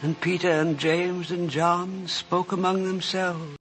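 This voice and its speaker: Slow, high-voiced solemn scripture narrator. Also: male voice, little pitch movement, fairly bright tone, exaggerated voice, rough recording. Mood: grave, sorrowful. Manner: reverent, declamatory, formal. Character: solemn male scripture narrator voicing a grave Jesus. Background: prominent music.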